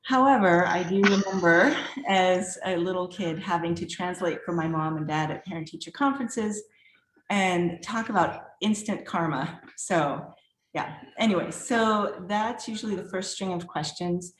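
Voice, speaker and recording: A woman's voice: lowish voice, normal speed, echoey room, a little hiss or hum, low-quality recording. A middle-aged woman from the former Czechoslovakia, an art professor, introducing herself in casual, upbeat chat and laughing often. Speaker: guest artist and art professor. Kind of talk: casual self-introduction at a virtual reception.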